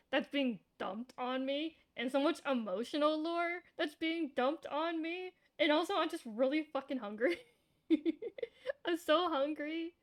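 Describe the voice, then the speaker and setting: High-voiced, candid woman game streamer. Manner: candid, informal, swearing. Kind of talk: casual live reaction commentary.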